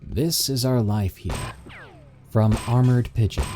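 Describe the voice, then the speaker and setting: A man reading submitted encounter stories aloud in steady, measured scripted narration. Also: mid-range voice, noisy background.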